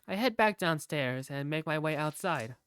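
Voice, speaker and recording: A woman's voice: sits low, expressive, normal speed, smooth, no room sound, faint music behind. A woman narrating an amateur visual novel in the first person as its lead character, with a wry, conversational manner.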